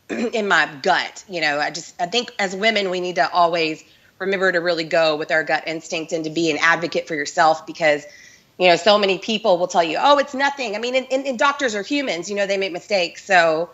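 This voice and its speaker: A woman in her thirties with a Texan accent joins by video call. She is hoarse from the flu and talks casually, with lots of "like"s. Her voice sits low, with a bright edge. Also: brisk, dull audio. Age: 30s. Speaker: guest. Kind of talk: casual remote interview.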